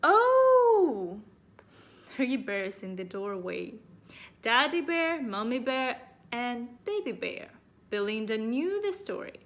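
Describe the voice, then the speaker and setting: A woman's voice: a little high, very animated, slow, fairly bright tone. A woman storyteller reading a children's story aloud expressively.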